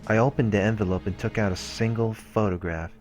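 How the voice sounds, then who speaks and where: Guy with a real deep voice doing a let's play, reading the story out loud, stumbles a bit, music behind him. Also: steady tone, fast talker. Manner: stumbles and rereads words while reading. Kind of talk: reading visual novel text aloud, with casual asides. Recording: music under the voice. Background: visual novel background music.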